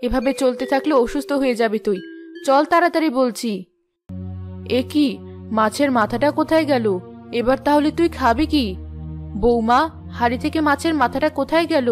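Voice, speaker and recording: A woman voicing a doting, protective middle-aged mother in an animated moral story, with an insistent, motherly manner. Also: high-pitched, moderate pace, long pauses, soft background music, close to an everyday voice. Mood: insistent, indignant.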